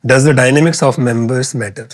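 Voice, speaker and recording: A man's voice: medium pitch, steady tone, a little fast. A man hosting a music podcast, asking his guests questions with hesitant fillers. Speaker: podcast host. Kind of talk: interview questions. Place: podcast studio.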